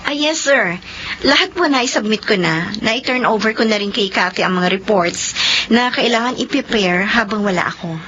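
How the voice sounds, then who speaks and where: An actress playing a hardworking company officer and daughter in an expressive radio drama style. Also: female voice, medium pitch, normal speed, slight background noise, lightly acted. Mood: polite. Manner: expressive, code-switching between Filipino and English. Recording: muffled radio drama with music bed.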